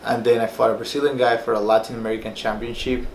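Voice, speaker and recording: A young man from Peru tells his story in a loose, rambling way, full of fillers. His voice sits at an ordinary pitch. The room is echoey, with a faint hum underneath. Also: little pitch movement, fast, gravelly. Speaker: personal trainer and former fighter. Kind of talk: casual personal story to camera.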